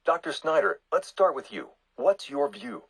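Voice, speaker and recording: An actor playing a brisk TV news anchor steering a debate, in broadcast delivery. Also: male voice, on the high side, sing-song, breathy edge, no room sound. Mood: brisk, professional.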